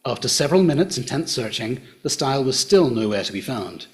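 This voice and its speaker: Man, Australian accent, middle-aged, measured storytelling, public heritage talk, average pitch. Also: expressive. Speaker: local history presenter. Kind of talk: public talk retelling folklore. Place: hotel function room.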